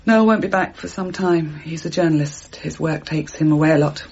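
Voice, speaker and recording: A woman's voice: low voice, normal speed, darkish tone, highs cut off, slight background noise. An actress playing a prim, guarded middle-aged landlady in a radio drama, speaking with clipped restraint. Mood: guarded, resigned.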